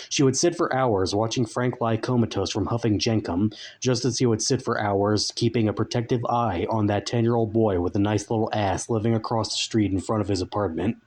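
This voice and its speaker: A man narrating a comic story as a dramatic reading, with deliberate, mock-solemn phrasing. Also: fairly low pitch, darkish tone.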